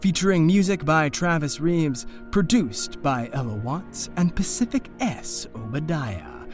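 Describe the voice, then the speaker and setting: A man's voice: fairly high pitch, lively intonation, measured pace, soft background music. A man announcing scripted credits at the end of an audio production.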